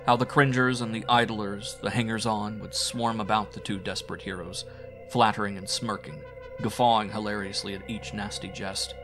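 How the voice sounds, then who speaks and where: A man's voice: fairly low pitch, steady tone, normal speed, low-quality recording. A man narrating a horror audiobook in a theatrical, brooding, old-fashioned storytelling manner. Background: music.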